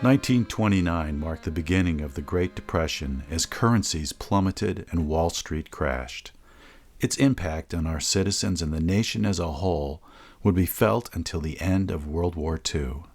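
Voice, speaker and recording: Deep-voiced male documentary narrator. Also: darkish tone, soft background music. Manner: measured and formal.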